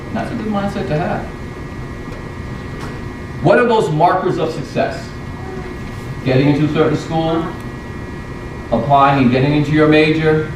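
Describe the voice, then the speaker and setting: A university educator giving a leadership talk to students, listing point after point. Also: male voice, fairly high pitch. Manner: lists items one after another. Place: large echoey hall. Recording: echoey hall from far off, audible noise.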